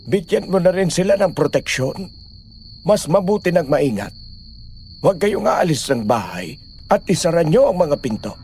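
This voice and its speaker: Man playing a stern, gravelly village father. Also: fairly high pitch, sing-song, moderate pace, a little mellow, slight background noise. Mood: grave, commanding. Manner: commanding, grave. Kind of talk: Filipino audio horror drama acting.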